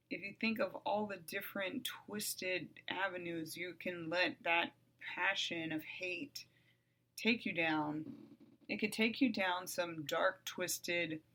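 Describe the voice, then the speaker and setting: A middle-aged woman hosting her own podcast, talking bluntly and with profanity in a calm, even voice that flares up loud at times. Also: lowish voice. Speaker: podcast host. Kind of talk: candid monologue.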